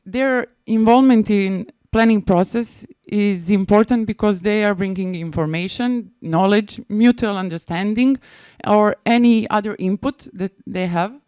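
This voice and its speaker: A woman with a Serbian accent giving a prepared conference presentation in a hesitant, halting manner, often restarting phrases. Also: medium pitch, darkish tone, smooth, dry recording. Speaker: conference presenter, maritime spatial planner.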